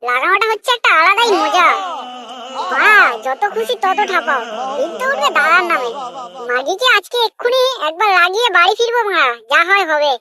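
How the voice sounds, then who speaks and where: A man voicing a lustful young village lover in a comic cartoon, crude and full of flattery. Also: very high-pitched, normal speed. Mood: impatient, lustful. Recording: loud children's music behind. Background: children's music.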